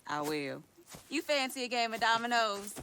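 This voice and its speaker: A woman's voice: on the high side, animated, normal speed, pushed and bright, slight background noise. An actress playing a disgruntled, mischievous young camp woman, dry and conspiratorial, in a video game. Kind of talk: naturalistic video game acting.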